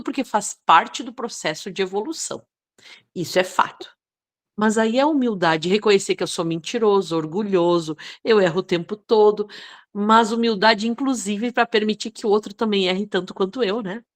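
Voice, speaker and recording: A woman's voice: medium pitch, normal speed. A Brazilian woman giving a reflective spoken contribution in a spiritual group discussion, often adding tag questions. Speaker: study group participant.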